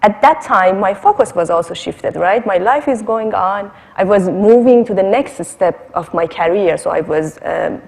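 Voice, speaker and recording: Woman from iran, researcher chatting through her own story on a panel, mid pitch, long echoey hall sound. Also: expressive, moderate pace, vocal fry, few pauses, a little mellow. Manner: conversational, checks in with "right?". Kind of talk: personal anecdote in panel discussion.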